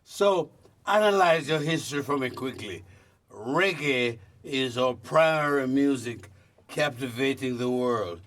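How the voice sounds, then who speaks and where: A man's voice: mid-range voice, far from the mic. A man hosting an interview, asking probing questions with emphatic phrasing. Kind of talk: casual interview.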